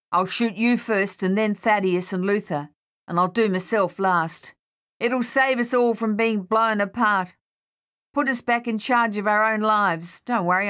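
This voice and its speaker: A woman's voice: mid-range voice, a bit bright, lightly acted. Woman voicing a desperate, shell-shocked Australian soldier. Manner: anguished, insistent, rambling. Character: shell-shocked, grief-stricken young Australian male soldier, desperate and bitter. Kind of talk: audiobook character voice.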